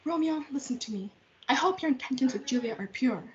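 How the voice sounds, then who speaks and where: A woman's voice: high-pitched, expressive, fairly quick, slightly rough, heavily acted. A young woman playing a fussy, protective nurse in amateur reader's theatre. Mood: insistent. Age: teenager. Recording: dull lo-fi audio.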